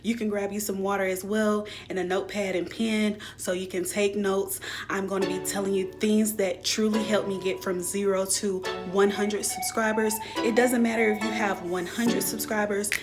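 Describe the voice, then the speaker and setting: A woman vlogger with a slightly raspy, mid-pitched voice speaks directly to her viewers in a casual instructional way. She explains things step by step, with music clearly playing behind her. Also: flat delivery, moderate pace, few pauses. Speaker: YouTuber giving channel growth tips. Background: background music.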